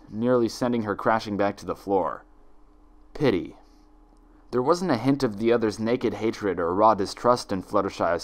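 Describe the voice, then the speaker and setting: Animated male storyteller with very lively pitch. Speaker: narrator. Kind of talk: dramatic fan fiction audiobook narration.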